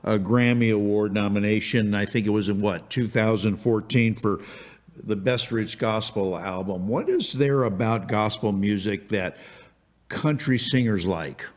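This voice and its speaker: A man's voice: lowish voice, a little slow. A man hosting a podcast interview, asking chatty, rambling questions. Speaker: podcast host.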